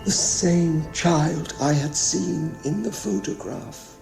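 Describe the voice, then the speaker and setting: An actor playing a traumatised pilot giving halting, haunted testimony in a radio play. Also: male voice, fairly high pitch, vocal fry, over music. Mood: haunted, disbelieving. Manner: halting, haunted, broken phrasing. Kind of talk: radio play dramatic monologue.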